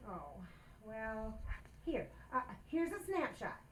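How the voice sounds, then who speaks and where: An actress playing a persistent, excitable young housewife in an old-time radio comedy, in a roomy, noisy recording. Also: female voice, on the high side, slow. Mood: pleading, flustered. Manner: pleading, excitable, exclaiming. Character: flustered, persistent young housewife, scheming and indignant. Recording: roomy echo with clear background noise.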